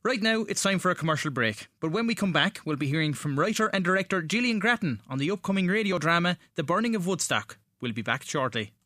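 An Irish man hosting a radio programme, reading scripted links in a formal, deliberate manner. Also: high voice, lively intonation, pushed and bright, no room sound, clean studio sound. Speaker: radio show host.

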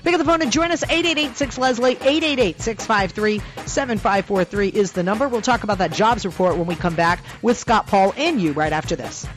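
Halting woman radio host. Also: medium pitch, soft background music. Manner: hesitant, halting questions with many fillers. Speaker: radio talk show host. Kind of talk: radio talk show hosting.

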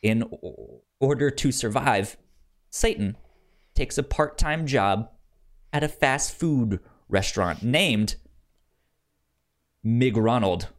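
A man co-hosts a casual podcast with a lively, varied intonation. He reads pitches aloud at an unhurried, slow pace. He often stammers and repeats syllables as he goes. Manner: stammers over words when reading aloud. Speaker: podcast co-host. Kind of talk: casual podcast chat, reading out show pitches.

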